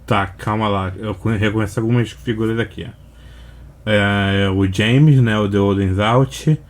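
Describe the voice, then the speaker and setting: A Brazilian man with a fairly low voice hosts a reaction video. He comments off the cuff in a slangy, informal way, often in short interjections. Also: fairly even intonation, measured pace.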